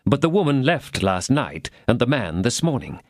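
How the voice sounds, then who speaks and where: A man's voice: mid-range voice, very animated, normal speed, no echo, clean studio sound. A man voicing a loyal count who reports plainly and deferentially to his master. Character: loyal, businesslike male count and agent.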